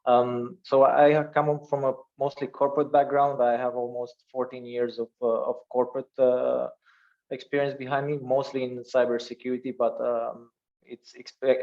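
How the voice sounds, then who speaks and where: A man's voice: mid-range voice, darkish tone, dull audio. A man introducing himself on an online panel, hesitant with many fillers and restarts. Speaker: panelist, security lead. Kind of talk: self-introduction on a video call panel.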